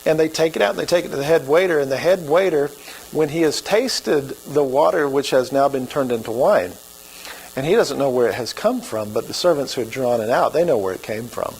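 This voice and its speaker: Gravelly Oklahoma-accented preacher man. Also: mid-range voice, expressive, a little fast, darkish tone, rough recording. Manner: folksy, conversational preaching. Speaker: pastor. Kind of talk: sermon.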